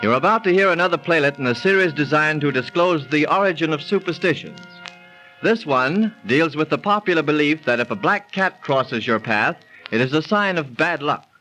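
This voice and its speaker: A male 1930s radio announcer with a bright, forceful, fairly high voice. He speaks formally over music on an old recording. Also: animated, normal speed, poor audio.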